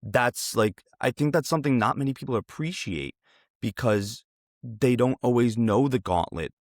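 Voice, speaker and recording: A man's voice: mid-range voice, normal speed, dry recording, studio quality. A man hosting a casual interview, earnest and rambling, often repeating his phrases as he builds a question. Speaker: interviewer, host.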